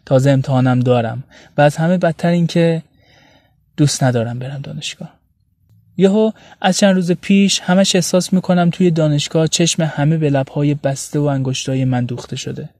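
A man's voice: mid-range voice, darkish tone, no echo, studio quality, lightly acted. A man playing a withdrawn, brooding young student in an audio drama monologue, speaking introspectively and downcast. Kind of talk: first-person dramatic monologue in a serial audio story.

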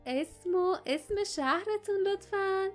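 A woman voicing a cheerful, plump middle-aged innkeeper in an audiobook, speaking in a loud, friendly, bustling way. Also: very high voice, faint music behind, pitched above the performer's own voice. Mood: brisk.

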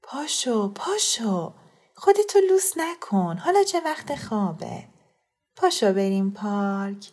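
A woman's voice: fairly high pitch, very animated, a little mellow, pitched above the performer's own voice. A woman voicing a pleading, secretive young student in an audiobook story. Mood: hushed, pleading.

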